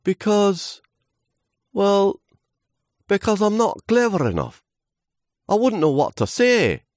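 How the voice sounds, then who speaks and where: A little storybook leaf acted out by a man, naive, lonely and eager to be liked. The voice sits high and moves in big swoops, with hesitant, earnest phrasing in a dry, close setting. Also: huge pitch swings, takes it slowly, a little mellow, dry recording, exaggerated voice. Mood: timid, unsure.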